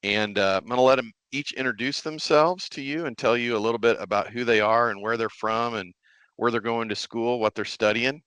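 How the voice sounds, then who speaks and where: A man's voice: medium pitch, a little fast, fairly bright tone, clear, resonant tone, dry recording. A man hosting a podcast in a casual interview style. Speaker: podcast host.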